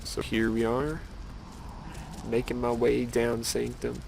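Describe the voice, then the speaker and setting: A man's voice: medium pitch, monotone, slow, creaky, darkish tone, a little hiss or hum, rough recording. A man giving casual, rambling game commentary with musing, wry asides to the viewer. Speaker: gameplay video host.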